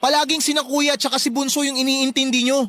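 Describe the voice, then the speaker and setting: A man's voice: extremely high pitch, level tone, quick pace, pushed and bright, no echo, studio quality. A young man playing a resentful, neglected grown son in a radio drama, plaintive and reproachful but respectful. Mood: hurt, reproachful. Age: young adult. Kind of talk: emotional radio drama acting.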